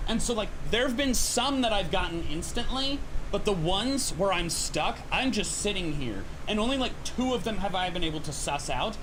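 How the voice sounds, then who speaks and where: A man's voice: high voice, animated, normal speed, hardly any pauses, noisy background. A man giving casual, rambling reaction commentary as a host, often self-deprecating and exasperated. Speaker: host guessing Pokemon from images in a recorded challenge.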